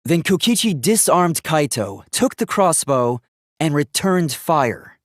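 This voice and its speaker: A man's voice: on the high side, big pitch swings, takes it slowly, no room sound, clean studio sound. A man voicing a polite, earnest, logical young male robot in a video game, speaking formally and precisely. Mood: insistent, logical. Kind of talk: English video game voice acting.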